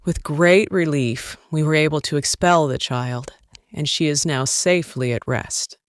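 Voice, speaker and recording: A man's voice: on the high side, measured pace, clear, resonant tone, dry recording, clean studio sound, close to an everyday voice. A man playing a kind nurse in an audio drama, with formal, clinical phrasing. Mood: solemn, gentle.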